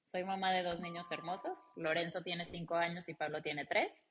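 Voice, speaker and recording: A woman's voice: on the low side, normal speed, slight background noise. A woman with a Mexican accent, chatting animatedly and telling personal stories as an interview guest. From León, Guanajuato, Mexico. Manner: animated, chatty, quotes dialogue as she tells anecdotes. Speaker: interview guest, logistics company founder and CEO. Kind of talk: casual conversational interview storytelling.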